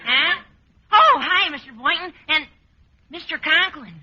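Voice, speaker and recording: High squeaky pitch, gushing teenage boy, earnest, vintage radio comedy, muffled and very noisy. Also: animated, unhurried. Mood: breezy. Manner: gee-whiz, earnest, exclamatory. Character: breezy, gushing, high-voiced teenage schoolboy. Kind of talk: 1940s-50s radio sitcom acting. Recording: muffled old radio recording, loud noise, clicks.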